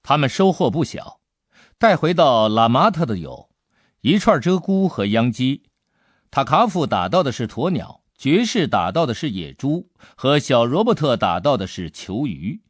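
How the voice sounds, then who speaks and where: A man's voice: medium pitch, moderate pace, dry recording, studio quality. Man narrating with widely swinging intonation. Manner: expressive storytelling. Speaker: audiobook narrator. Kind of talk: scripted dramatic story narration.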